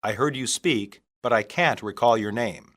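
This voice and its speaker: A man reading scripted English practice phrases for learners, deliberately and in separated phrases. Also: fairly low pitch, a bit bright. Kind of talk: scripted language-lesson reading.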